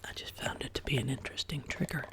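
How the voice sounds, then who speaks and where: A woman hosting a soft-spoken ASMR chat, sick and sounding lower than usual, drifting between quiet speech and whispers in her home studio. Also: quick pace. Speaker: ASMR channel host. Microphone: binaural desk microphone.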